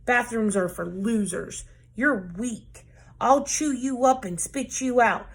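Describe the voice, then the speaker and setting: A woman reading aloud, voicing a strict woman football coach who barks gruff orders. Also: on the high side, slow pace, full character voice. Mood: barking. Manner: barking, gruff commands.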